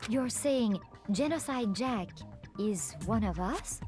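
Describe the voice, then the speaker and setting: A woman's voice: fairly high pitch, expressive, slow pace. A woman voicing an easily rattled, incredulous anime teenager in a video game, exclaiming and stammering in disbelief. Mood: shocked, incredulous. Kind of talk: dramatic video game character dub. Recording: loud game music behind.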